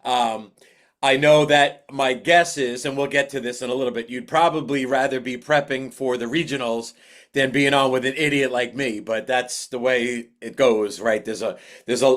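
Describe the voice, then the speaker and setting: A man's voice: medium pitch, normal speed, fairly bright tone. Male podcast host, casual and self-deprecating, easygoing delivery. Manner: chatty, self-deprecating, laughs easily. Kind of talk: casual interview chat.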